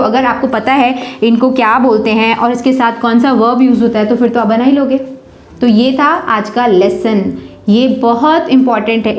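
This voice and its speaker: A woman teaching a beginners' English grammar lesson at a board. She patiently asks the class questions, answers them herself, and says example sentences slowly, word by word. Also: fairly high pitch, echoey room.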